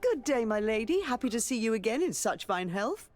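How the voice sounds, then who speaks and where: A woman's voice: fairly high pitch, big pitch swings, brisk, soft background music. A courteous noblewoman, echoing hall. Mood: gracious. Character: courteous, gossipy, well-informed noblewoman. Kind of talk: video game voice acting. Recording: long echo of a hall.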